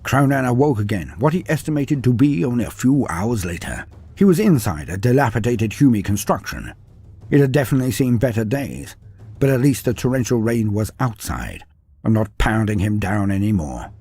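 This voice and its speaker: A man's voice: lowish voice, a little mellow, slightly rough, no room sound. A man reading a fantasy story aloud with a dramatic delivery, his voice rising and falling through the telling. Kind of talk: scripted fantasy story narration.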